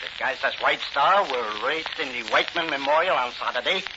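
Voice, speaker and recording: A man's voice: medium pitch, animated, brisk, noisy background, close to an everyday voice. Man acting a scheming crime boss, muffled old radio. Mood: calculating, menacing. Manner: smooth, commanding, menacing. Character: scheming male crime boss running a race-fixing racket.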